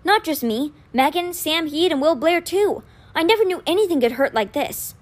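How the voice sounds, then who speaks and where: A woman's voice: sits high, animated, normal speed, pitched above the performer's own voice. A woman voicing an earnest, anxious teenage boy in an audiobook, stammering, pleading and full of guilt. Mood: hurt, miserable.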